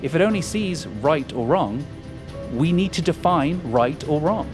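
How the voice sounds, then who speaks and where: An actor playing an arrogant, power-hungry hacker in an audio drama, with a smug, cynical and sardonic manner. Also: male voice, on the high side, animated, unhurried, music in the background. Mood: confident, explaining. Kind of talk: audio fiction acting.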